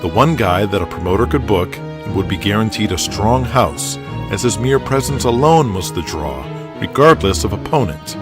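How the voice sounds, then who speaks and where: A man's voice: on the low side, animated, normal speed, over music. A man reading scripted, dramatic narration for an audio drama.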